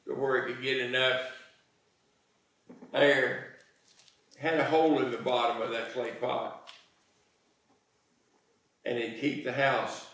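An American man in his sixties preaching a sermon in a folksy, deliberate manner, pausing between phrases. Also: medium pitch, a bit bright. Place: church sanctuary, indoors. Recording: very echoey church, distant microphone.

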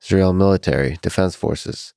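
A young man hosting a podcast, asking calm, slow, measured questions into a boom mic in a small office. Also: creaky, dry recording, studio quality. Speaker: podcast host. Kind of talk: interview questions. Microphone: studio mic on boom arm.

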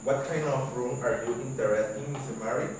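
A student playing a polite reservation agent. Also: male voice, mid-range voice, fairly even intonation, fairly quick, highs cut off, lightly acted. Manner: formal, scripted politeness. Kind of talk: classroom role-play phone call. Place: large classroom, indoors. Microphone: far camera. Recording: echoey classroom from far off, noisy.

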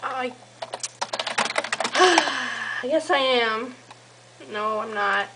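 High-voiced young woman teasing on a call. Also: extremely slow. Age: young adult. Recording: noisy amateur home camera recording.

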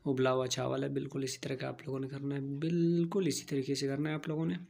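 A man's voice: medium pitch, little pitch movement, fast talker, creaky, a little mellow. A man narrating a home cooking tutorial, giving plain step-by-step instructions and repeating key phrases. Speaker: home cooking channel host.

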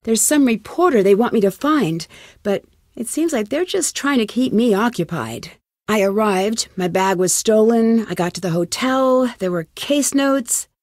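A woman's voice: fairly high pitch, lively intonation, measured pace, a little mellow, no echo, clean studio sound. An actress playing an earnest, determined young female amateur detective in conversational video game dialogue. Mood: puzzled, focused. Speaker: lead character in a detective adventure game.

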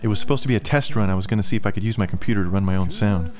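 A man in his thirties with a slightly hoarse, congested voice, chatting casually and rambling about his music from a small home studio, laughing often. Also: lowish voice, racing. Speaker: musician vlogger. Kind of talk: casual behind-the-scenes chat. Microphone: studio mic on a boom, wearing headphones.

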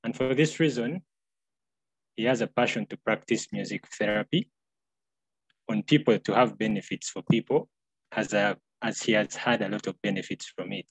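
A young man from Uganda introduces the next speaker over a laptop webcam from a small room at home. His voice sits low and gravelly with a husky edge, and the sound is dry and close. He pauses often and fills gaps with fillers. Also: no room sound, poor audio. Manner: hesitant, many filler pauses. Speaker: co-host. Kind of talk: introducing speakers on a video call. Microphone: laptop webcam on video call.

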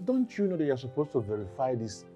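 A man's voice: mid-range voice, big pitch swings, fast talker, dark tone, highs cut off, soft background music, lightly acted. A middle-aged man with a Nigerian accent acting a sceptical, reproving father in a family drama, blunt and questioning.

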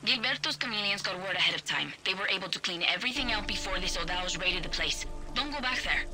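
A woman's voice: medium pitch, lively intonation, fast talker, pushed and bright, faint music behind, poor audio. An actress playing a blunt, commanding young woman guerrilla leader who barks orders, in video game dialogue. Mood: briefing.

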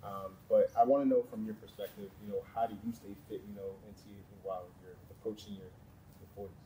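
A man in his thirties hosting an interview, relaxed and affirming, with casual slang. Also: fairly low pitch, quick pace, background noise. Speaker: podcast host. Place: large indoor sports facility studio.

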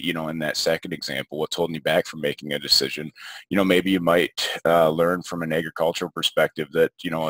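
A man with an extremely low, bright-edged voice presents an informal online seminar. He speaks haltingly as an educator explaining slides. The sound is dry and close. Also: no room sound. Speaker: behavior health educator presenting.

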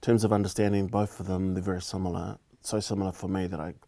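New Zealand man, forties, low gravelly voice, thoughtful interview guest. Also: level tone, fast, warm tone. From Cook Islands heritage, raised in New Zealand. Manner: thoughtful, restarts phrases often. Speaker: political candidate, guest. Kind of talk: relaxed interview conversation. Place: small indoor studio set.

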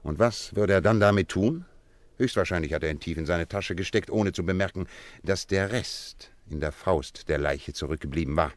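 An actor playing a confident, courteous detective with a keen analytical mind, precise and polite in a German radio play. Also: male voice, low-pitched, moderate pace, no echo, lightly acted.

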